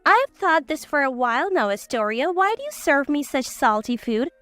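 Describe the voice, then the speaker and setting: A woman's voice: high voice, very animated, moderate pace, dry recording, faint music behind. A woman voicing a scornful older mother-in-law who nags and accuses, in a story drama. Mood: scolding. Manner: sharp, accusing complaints.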